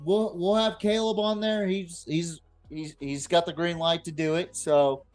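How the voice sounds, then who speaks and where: A man with a slightly high voice hosts a loose football podcast. He talks in blunt, slangy, swear-filled bursts, often repeating words as he finds his way. Some music sits behind him. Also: steady tone, measured pace, darkish tone. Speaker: podcast host. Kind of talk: casual unscripted sports talk chat.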